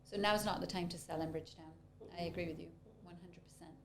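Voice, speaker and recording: A woman joins the conversation with an easy, affirming manner, agreeing readily and then adding her own thoughts. Her voice sits a little low. A faint noise floor runs behind her. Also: steady tone, fast talker, vocal fry, a bit bright. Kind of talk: casual podcast conversation.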